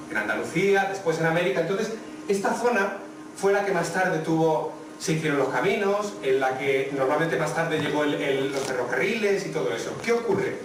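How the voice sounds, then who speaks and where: A man with a Madrid accent giving a lecture with slides, explaining things directly to the audience. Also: on the high side. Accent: Madrid Spanish. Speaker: guest lecturer on traditional dress. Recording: echoey hall, distant mic, faint noise.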